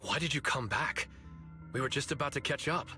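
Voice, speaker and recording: A man's voice: medium pitch, fairly quick, pushed and bright. An actor playing a cool, level-headed man on a video game team, matter-of-fact in manner. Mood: puzzled. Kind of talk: English video game voice acting. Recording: faint music behind.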